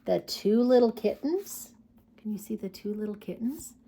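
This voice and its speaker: A woman hosting a children's storytime, reading picture books aloud in an expressive, sing-song way and talking directly to young listeners. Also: mid-range voice, moderate pace, slightly rough.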